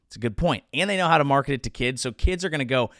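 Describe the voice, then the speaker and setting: A man's voice: mid-range voice, expressive, rapid-fire, fairly bright tone, no echo, clean studio sound. A man in his thirties hosting a comedy show, delivering a sarcastic monologue with mock-outraged rants. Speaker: comedian host.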